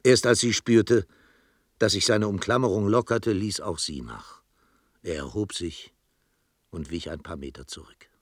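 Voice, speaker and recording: A man with a husky voice narrating a literary radio play in a dramatic storytelling style. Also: low-pitched, a little slow, no room sound, clean studio sound.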